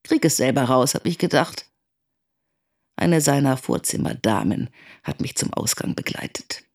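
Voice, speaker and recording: An actress playing a worried, candid middle-aged mother telling her story in a dramatic first-person monologue, with a husky, creaky voice. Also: rumbling low, normal speed, darkish tone. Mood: indignant, wry.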